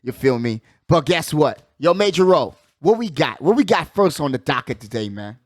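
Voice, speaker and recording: Higher-pitched man hosting sports talk. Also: sing-song, normal speed, dry recording. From United States. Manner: slangy, emphatic, repeats catchphrases. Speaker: sports talk show host. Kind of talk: casual hyped sports chat.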